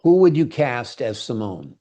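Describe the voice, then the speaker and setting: A man's voice: mid-range voice, sing-song, unhurried, mellow. A man hosting a book interview show, asking questions and presenting in a broadcast interview style.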